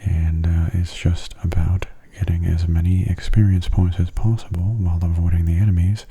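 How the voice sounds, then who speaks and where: A man giving soft-spoken ASMR gameplay commentary in a hushed, breathy, unhurried and casual way, close to the microphone. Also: little pitch movement. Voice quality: breathy, husky low voice. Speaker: gaming ASMR video host. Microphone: close mono microphone.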